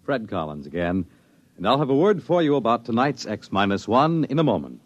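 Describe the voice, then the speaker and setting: A man's voice: mid-range voice, big pitch swings, fairly quick, dry recording, dull audio. A man with an American accent announcing from a script in 1950s radio style.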